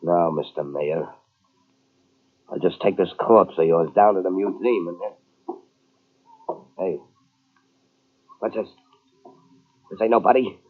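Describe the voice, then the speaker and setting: An actor playing a vengeful, brutish escaped-convict gangster, with tough, slangy, threatening talk in old radio crime drama style. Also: male voice, low voice, slow pace, pauses often, narrow, tinny audio. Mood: smug, startled. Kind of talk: 1930s-40s radio crime drama acting. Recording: old radio drama on cassette, band-limited.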